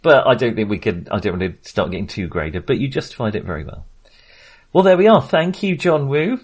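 A middle-aged man co-hosting a film podcast, talking casually in a measured, hedging, wry way. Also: mid-range voice, sing-song, slightly rough.

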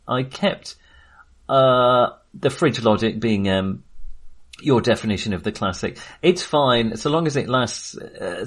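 A man hosting a casual film discussion, wry and amused, rambling and hesitant as he trails off mid-sentence. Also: mid-range voice. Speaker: film podcast host.

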